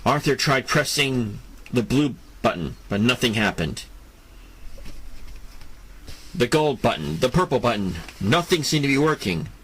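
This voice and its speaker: A man narrating a scripted superhero audio drama in a deliberate style, with dramatic pauses between phrases. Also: mid-range voice, sing-song, a bit bright, a little hiss or hum.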